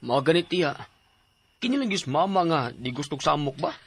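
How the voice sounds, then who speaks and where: Lively, higher-voiced young grandson. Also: male voice, moderate pace, husky, heavily acted. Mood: frustrated. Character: frustrated young grandson worried about family land.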